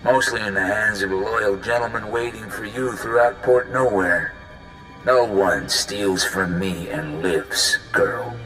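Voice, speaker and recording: An actor playing an arrogant crime boss in a video game, taunting and threatening in a deliberate manner. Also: male voice, lowish voice, music in the background, lightly acted, rough recording. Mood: cold threat. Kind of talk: video game cutscene acting.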